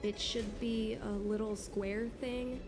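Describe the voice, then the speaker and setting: A woman's voice: medium pitch, steady tone, slow pace, close to an everyday voice. A woman voicing an earnest, rueful teenage girl who longs to fly, in a video game. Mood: thoughtful, worried. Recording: game dialogue under loud music.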